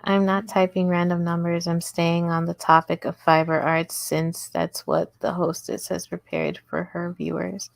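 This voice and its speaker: Slow-talking chatty woman crocheting. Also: on the low side, flat delivery, gravelly, dry recording. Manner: chatty, giggly, talks to chat while working. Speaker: crochet livestream host. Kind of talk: casual livestream crochet tutorial. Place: indoors at home table.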